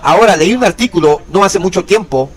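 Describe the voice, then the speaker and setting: A man's voice: high voice, lively intonation, a little fast. A man in his thirties preaching and commenting on news, emphatic, repeating key words and asking rhetorical questions, recorded indoors facing a camera. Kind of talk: religious commentary reading news. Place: indoor room with pale wall. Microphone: fixed camera, mid shot.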